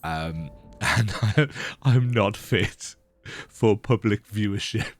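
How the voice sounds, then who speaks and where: A man with a croaky voice giving casual, rambling, self-deprecating commentary while he plays a video game. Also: lowish voice, animated, slow. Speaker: let's play gamer.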